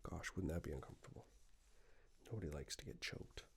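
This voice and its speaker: A man doing a soft-spoken ASMR tailor roleplay, breathy and whispered, with an unhurried, reassuring, slightly hesitant way of talking. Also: expressive, a little hiss or hum. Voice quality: soft, breathy whisper mixed with low creaky murmur. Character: attentive male tailor, gently flattering and chatty. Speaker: ASMR performer playing a tailor.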